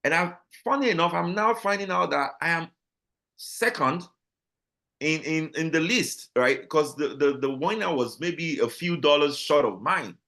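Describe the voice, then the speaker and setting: A man with a Nigerian accent, a guest talking casually in an interview, animated and full of restarts and repeated words. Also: a little high, measured pace. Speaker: guest, business owner.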